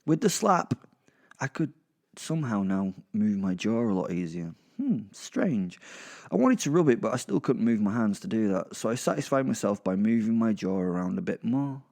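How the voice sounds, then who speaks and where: A man's voice: lowish voice, very animated, moderate pace, creaky, darkish tone. A man with a British accent narrating a horror audio drama in the first person, chatty and wry with sweary asides. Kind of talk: first-person conversational storytelling.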